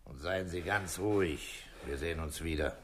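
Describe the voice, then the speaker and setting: An actor playing a middle-aged police commissioner in a radio crime drama, terse, calm and dryly authoritative. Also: male voice, sits low, normal speed, very noisy, close to an everyday voice. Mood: stern. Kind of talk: 1960s German radio crime drama acting.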